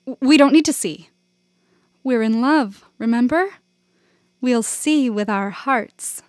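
A woman's voice: fairly high pitch, takes it slowly, a little mellow, no echo, clean studio sound. A woman voicing a young female acting student who is pushy and passionate, pleading and insisting in her lines.

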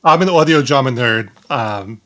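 Medium-pitched man interviewing. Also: expressive, moderate pace, fairly bright tone. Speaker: podcast host, interviewer. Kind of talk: casual interview questions.

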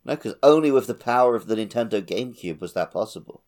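A man's voice: lowish voice, animated, quick pace, darkish tone. A man co-hosting a gaming podcast, bantering casually in a playful, jokey way. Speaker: podcast co-host.

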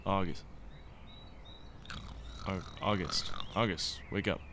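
An actor playing a teasing, protective young brother in an audio drama. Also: male voice, low-pitched, steady tone, slow pace, background noise. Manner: easygoing, teasing.

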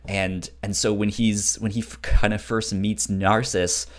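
A man co-hosting a casual book discussion, speaking haltingly with repeated words and acting out bits of dialogue. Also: fairly low pitch. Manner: animated, acts out imagined dialogue. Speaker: podcast co-host.

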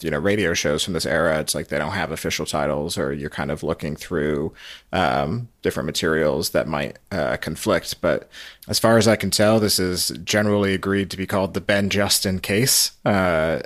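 A man with a low voice hosts a casual recap podcast. He speaks in a halting, conversational way, and the sound is dry and close. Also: a bit bright, no echo. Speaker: podcast host.

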